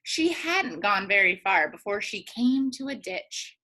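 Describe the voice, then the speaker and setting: A woman teacher reading a children's storybook aloud in an animated way, drawing words out for emphasis. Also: medium pitch, unhurried, rough recording.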